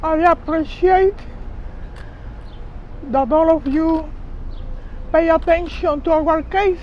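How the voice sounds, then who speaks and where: Older woman, Cuban accent, press conference speaker. Slow, deliberate delivery on a dull outdoor recording. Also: extremely high pitch, fairly even intonation, a little hiss or hum. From Cuba. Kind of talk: public testimony speech.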